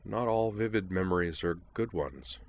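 A man voicing an anxious, sorrowful young woman who confides in a soft, hesitant murmur, as part of a story reading. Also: low voice, animated, normal speed, slight background noise. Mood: troubled.

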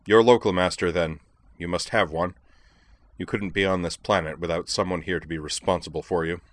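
Man acting a stern, cutting, low-voiced professor. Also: fairly even intonation, a little fast, dry recording, natural voice. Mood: scornful. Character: cold, harsh, indignant male literature professor, scornful and commanding.

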